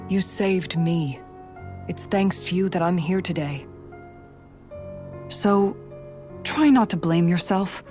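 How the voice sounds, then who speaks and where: A woman's voice: fairly low pitch, slow pace, pauses often, a little mellow, soft background music. An actress playing a loyal woman warrior in a video game, frank and heartfelt in the way she talks. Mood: tender, frank. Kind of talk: dramatic video game voice acting.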